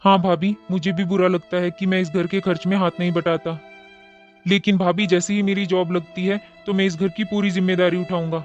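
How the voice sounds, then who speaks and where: A man's voice: sits high, level tone, brisk, lo-fi sound, faint music behind, close to an everyday voice. A man playing a humble, loving young husband in a cartoon moral story, speaking earnestly. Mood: apologetic, worried. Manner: earnest, apologetic.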